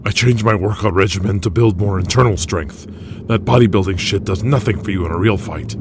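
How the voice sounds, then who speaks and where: A man's voice: fairly low pitch, a little fast, noisy background, natural voice. A man playing a proud, jaded veteran pro wrestler with a blunt, dismissive manner in an audio drama. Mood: defensive.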